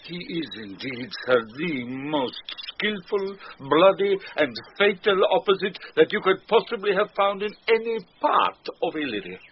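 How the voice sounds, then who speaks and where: Lively, mischievous gentleman conspirator. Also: sits high, unhurried, few pauses. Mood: mock-grave. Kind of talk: radio Shakespeare comedy acting. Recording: muffled, noisy radio drama recording.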